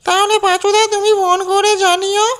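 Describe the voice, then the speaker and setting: An actor voicing a whiny, childish bachelor in a comedy cartoon, with a squeaky voice and slangy talk. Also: level tone, fairly quick, fairly bright tone, soft background music. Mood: excited. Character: Bhoga, whiny childish bachelor eager to marry.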